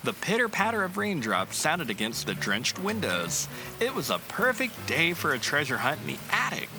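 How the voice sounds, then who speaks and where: A man narrating a children's picture book aloud in an expressive, storytelling way. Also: on the high side, normal speed, over music.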